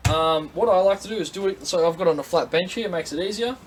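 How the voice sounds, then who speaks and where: Australian man, high-set voice, casual how-to demonstrator, plain-spoken, step-by-step, noisy indoor workshop recording. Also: fast. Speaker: product demonstrator. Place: indoor workshop at a tool bench.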